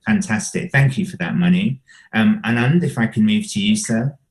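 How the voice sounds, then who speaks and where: A man's voice: on the low side, normal speed. A man moderating a virtual panel, giving brief encouraging prompts to the speakers.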